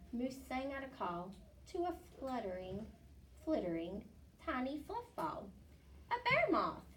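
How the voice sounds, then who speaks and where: Brief: female storybook reader, unhurried expressive rhyme, recorded slightly off-mic with faint noise. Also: a little high, recorded from a distance, low-quality recording. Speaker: picture book reader. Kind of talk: expressive read-aloud of a rhyming children's story.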